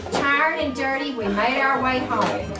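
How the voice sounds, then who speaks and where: A woman's voice: high voice, a little slow. An older woman reads a storybook aloud to a small child, expressively and with little explanatory asides. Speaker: grandmother reading to a child. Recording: roomy, distant mic, music behind. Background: music.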